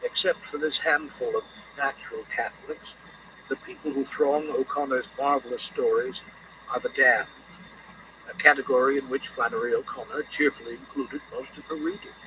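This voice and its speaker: A man narrating a scripted literary audiobook in a measured, deliberate reading manner. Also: medium pitch, steady tone, recorded from a distance, noisy background.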